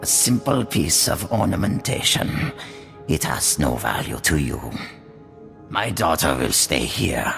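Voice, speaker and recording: Ancient ruthless crime boss, extremely deep, gravelly and breathy, slow menace, game dialogue over music. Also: male voice, very animated, a bit bright, full character voice. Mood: grave, weary. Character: dying, ruthless old crime lord father. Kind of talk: video game character acting.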